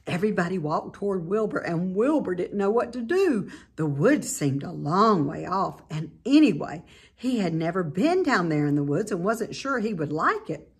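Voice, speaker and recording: A middle-aged woman with a slightly husky voice reads a children's story aloud expressively, like a teacher. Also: fairly low pitch, big pitch swings, normal speed. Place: indoor room at home by a staircase.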